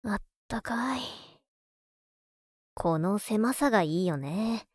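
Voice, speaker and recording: Woman as clingy, slow-murmuring little brother. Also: medium pitch, animated, husky, no echo, clean studio sound. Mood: tender, playful. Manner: soft, intimate, coaxing, sometimes dropping to whispers. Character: clingy, teasing, masochistic younger brother doting on older sister. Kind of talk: intimate Japanese audio drama, murmured monologue to a sleeping listener.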